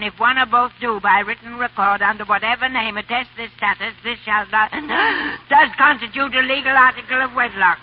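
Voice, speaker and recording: An actress playing a sharp-tongued, imperious old grandmother in a 1930s radio comedy, scolding and blustering. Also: on the high side. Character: bossy, sharp-tongued old grandmother, rich family tyrant. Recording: muffled 1930s radio broadcast.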